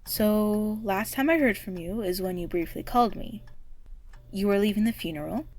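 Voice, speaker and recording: An actress plays a female security guard in an audio drama. She is friendly and caring, mid-pitched, with a gently teasing way of talking to her coworker. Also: normal speed, natural voice. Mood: gentle, sympathetic.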